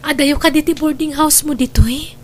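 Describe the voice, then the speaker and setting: High-voiced defensive young wife played by an actress. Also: female voice, steady tone, brisk, a little hiss or hum, close to an everyday voice. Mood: coy, affectionate. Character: grown married daughter, defensive, drawn to old flame. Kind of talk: Ilocano radio drama acting.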